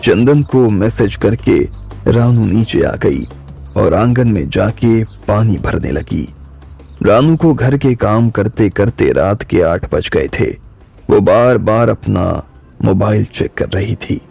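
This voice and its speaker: A man narrating an audiobook drama slowly and calmly, his low voice dark and gravelly with a husky edge. Soft music plays under his narration. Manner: calm, measured storytelling. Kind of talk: slow scripted audiobook narration.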